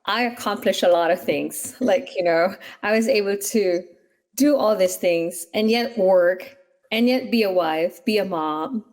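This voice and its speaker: A woman's voice: medium pitch, unhurried, a little mellow. A middle-aged woman from the Philippines, a real estate agent, answering interview questions earnestly with fillers. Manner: earnest, explanatory, with many fillers.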